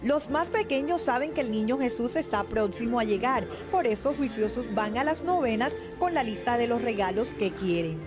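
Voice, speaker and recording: Woman, news reporter, highish voice, scripted voice-over, prominent music behind. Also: expressive, moderate pace, hardly any pauses. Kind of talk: scripted feature narration.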